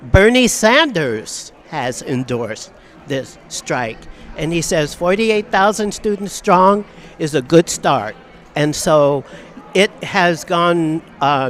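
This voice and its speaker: An older woman from Southern California, a professor speaking earnestly and in measured phrases in an outdoor news interview on campus. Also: low-pitched, fairly bright tone.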